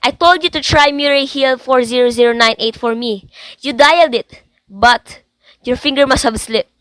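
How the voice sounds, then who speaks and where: A woman's voice: high-pitched, moderate pace, fairly bright tone, dry recording. A young woman playing an agitated, insistent invalid wife in a theatrical student radio drama. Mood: indignant, demanding. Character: anxious, imperious invalid wife alone at night.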